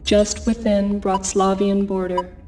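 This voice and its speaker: An actress playing an impassive military briefing announcer, speaking formally in terse, measured phrases. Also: female voice, mid-range voice, flat delivery, darkish tone, recorded from a distance, slight background noise, close to an everyday voice. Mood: impassive, official. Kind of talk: clipped scripted military mission briefing. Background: music.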